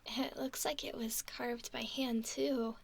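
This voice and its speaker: Slow, creaky-voiced whispering mermaid played by a woman. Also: fairly high pitch, steady tone, a little hiss or hum. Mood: fond, curious. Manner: gentle, playful, slow, often breathy whispering. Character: curious, affectionate young female mermaid named Valerie. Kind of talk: ASMR roleplay, soft intimate whispering.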